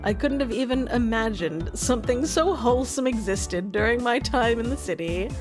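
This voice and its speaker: A woman's voice: fairly high pitch, lively intonation, normal speed, music in the background, close to an everyday voice. A woman playing an easygoing, wry teenage boy who narrates his day in a visual novel game. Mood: content.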